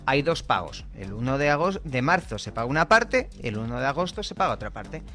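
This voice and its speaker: A man's voice: medium pitch, very animated, brisk, fairly bright tone. A man from Spain with a Spanish accent, a guest in a studio interview, explaining things patiently and checking that he is understood. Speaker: guest, communications director. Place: studio table.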